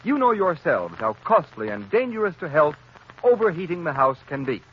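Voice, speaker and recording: A man's voice: fairly high pitch, very animated, moderate pace. A man announcing a scripted advertisement in emphatic, salesmanlike 1930s radio style. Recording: muffled, noisy 1930s radio recording.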